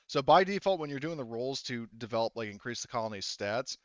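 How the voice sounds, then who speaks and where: A man speaking casually and haltingly as he narrates and runs a tabletop roleplaying game as game master. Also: medium pitch, lively intonation, no echo, muffled recording. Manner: halting, conversational, casual swearing.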